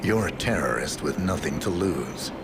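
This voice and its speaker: A man playing a stoic, emotionless contract killer in a video game, terse, guarded and deadpan. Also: a bass voice, normal speed, very noisy. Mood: guarded, grave.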